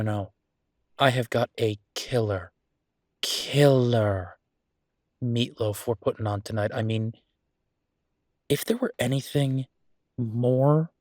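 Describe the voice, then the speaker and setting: A man playing an affectionate, playfully grumbling young werewolf chef in an intimate, casual boyfriend roleplay audio, chatty and halting. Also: medium pitch, darkish tone, no echo, clean studio sound, lightly acted. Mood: fond, enthusiastic.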